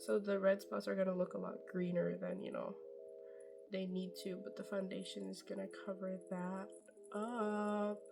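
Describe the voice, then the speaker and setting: A woman's voice: medium pitch, monotone, moderate pace, gravelly, music in the background. Chatty young woman doing a makeup tutorial. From Norway. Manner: chatty, rambling, self-deprecating, swears casually. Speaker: beauty vlogger. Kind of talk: casual makeup tutorial chat.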